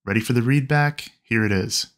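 A man narrating instruction in a clear, explanatory way. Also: medium pitch, fairly quick.

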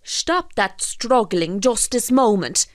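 Woman voicing a blustering fisherman, pitched high. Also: slow pace, no room sound, studio quality. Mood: commanding. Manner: exclamatory, folksy, emphatic scolding. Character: hot-tempered Irish peasant fisherman, grown man, blustering and wheedling. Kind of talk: storybook character voice.

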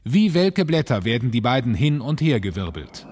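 A man's voice: mid-range voice, very animated, normal speed, a little mellow, slightly rough, long reverb tail. A man narrating a children's audio drama in a dramatic, scripted style.

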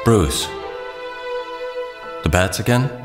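Man acting gentle, low-voiced devoted father. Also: animated, slow, over loud music. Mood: tender, reassuring. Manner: tender, soothing. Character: gentle, devoted, reassuring father of a frightened boy. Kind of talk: audio drama acting.

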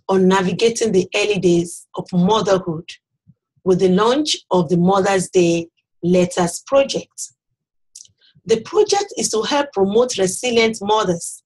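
Slow, measured Nigerian woman presenter. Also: medium pitch, breathy edge, poor audio. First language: Yoruba. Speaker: nursing academic presenting a health program. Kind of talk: scripted presentation to camera. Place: small office with bookshelves, indoors.

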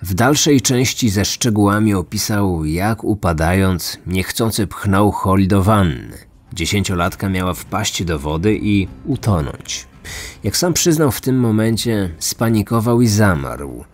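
Low-voiced man narrating true crime over music. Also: expressive. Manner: measured, serious storytelling. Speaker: true crime podcast host. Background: background music.

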